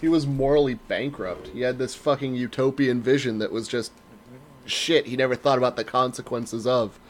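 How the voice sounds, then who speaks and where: A man in middle age talks casually on a podcast, at an ordinary mid-range pitch. He is opinionated and sarcastic, and swears freely as he makes his case. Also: fairly even intonation, normal speed. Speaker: podcast host. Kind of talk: casual chat.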